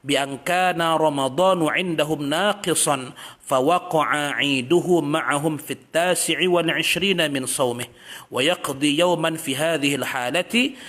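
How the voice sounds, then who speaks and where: Guy giving a religious study class, slightly high voice, reads arabic then explains it loose and chatty. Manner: relaxed, chatty explaining with everyday examples. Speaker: Islamic teacher.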